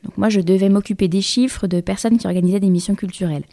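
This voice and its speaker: A woman's voice: mid-range voice, fairly even intonation, quick pace, mellow. A woman in her thirties, a guest in an interview, telling her story in a hesitant way with many fillers and restarts. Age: 30s. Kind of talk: conversational interview.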